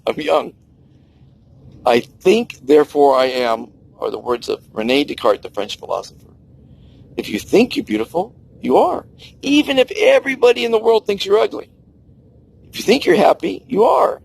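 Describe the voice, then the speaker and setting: A man's voice: on the high side, gravelly, husky, rough recording. A man in his sixties talking earnestly to camera outdoors by the sea, preaching kindness with emphatic swings in his voice. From United States. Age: 60s. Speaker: kindness vlogger. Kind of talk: outdoor personal monologue.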